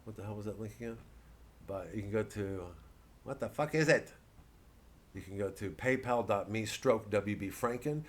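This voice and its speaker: Low-voiced man, rambling comic monologue. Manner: halting, digressive, sweary; acts out mock quotes and voices. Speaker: comedian. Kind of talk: rambling solo satirical monologue.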